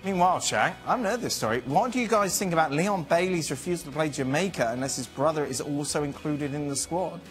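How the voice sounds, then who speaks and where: A man hosting a football show from a TV studio, his voice sitting a little high and moving around a lot as he banters with the panel. Light music plays faintly underneath. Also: expressive. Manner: bantering, quick to laugh. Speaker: show host. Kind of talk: casual studio chat.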